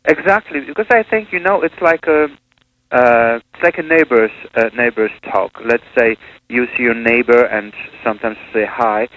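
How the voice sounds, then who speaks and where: A man's voice: mid-range voice, normal speed. A man from Poland, a priest and missionary, speaking in a radio interview with many hesitations and restarts. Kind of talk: conversational interview. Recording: tinny phone-line radio with hiss.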